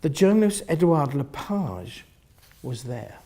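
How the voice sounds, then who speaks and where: A man narrates a novel in a husky, fairly high voice. His delivery is theatrical and expressive, with wide swings in pitch and phrases that sink into a hush. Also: unhurried. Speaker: reader of a novel. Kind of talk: dramatic first-person literary narration.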